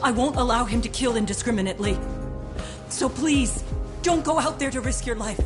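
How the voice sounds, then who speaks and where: A woman's voice: fairly high pitch, moderate pace, close to an everyday voice. Woman acting as controlled, guarded mother, music behind. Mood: pleading, controlled. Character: guarded, determined mother hiding a painful secret. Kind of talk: dramatic video game cutscene acting.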